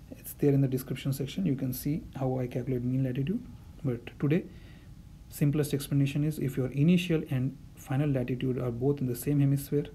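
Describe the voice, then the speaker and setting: Male tutor, mellow and gravelly, patient step-by-step delivery. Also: medium pitch, slight background noise. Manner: patient, step-by-step, repeats key points. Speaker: navigation instructor. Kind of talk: whiteboard-style tutorial explanation.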